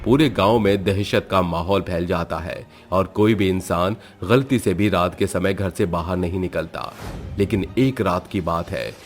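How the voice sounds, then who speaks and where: Deep-voiced man narrating. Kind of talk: scripted horror story narration.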